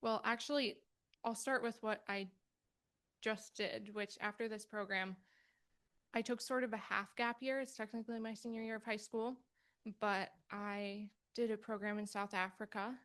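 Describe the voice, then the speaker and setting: A young woman in her late teens, with a medium-pitched voice, fields questions as a student at a meeting. She talks informally and haltingly, working out her answer as she goes. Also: fairly even intonation, fairly bright tone.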